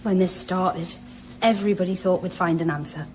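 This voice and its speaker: A woman's voice: on the low side, fairly even intonation, moderate pace, slight background noise, natural voice, rough recording. An actress playing a kindly but disillusioned female colleague in a naturalistic radio drama.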